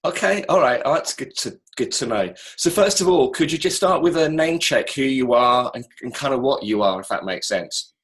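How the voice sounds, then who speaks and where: A man's voice: mid-range voice, expressive, normal speed, fairly bright tone. A man hosting a casual interview over a call, asking loose questions with chatty asides. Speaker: interviewer, host. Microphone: call recording.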